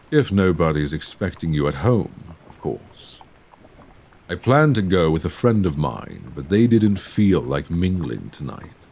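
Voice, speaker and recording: Deep, creaky Victorian gentleman vampire, acted by a man. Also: full character voice. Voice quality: low, creaky voice. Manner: genteel, formal, solicitous phrasing with endearments. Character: suave, courteous Victorian gentleman vampire, tenderly charming. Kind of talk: ASMR roleplay, scripted intimate monologue. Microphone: close binaural microphone.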